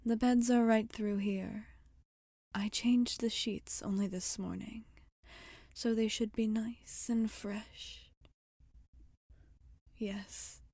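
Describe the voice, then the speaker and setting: Woman playing a slow, whispery, gentle witch healer. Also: mid-range voice, no room sound, clean studio sound. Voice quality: soft, breathy, often whispering. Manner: slow, soothing, formal and tender phrasing. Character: gentle, caring witch healer tending a customer. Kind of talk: ASMR roleplay, soft-spoken and whispered.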